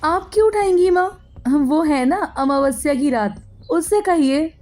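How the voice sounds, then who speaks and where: A woman's voice: sits high, animated, unhurried, darkish tone, pitched above the performer's own voice. A woman voicing a vain, spoiled young girl with a snooty, taunting manner in a moral story.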